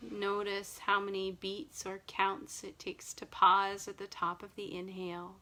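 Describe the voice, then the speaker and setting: A woman from Nova Scotia guiding an evening breathing meditation in a slow, soft, soothing way. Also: mid-range voice, flat delivery, low-quality recording. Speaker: meditation guide. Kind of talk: guided relaxation meditation. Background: music and ocean wave sounds.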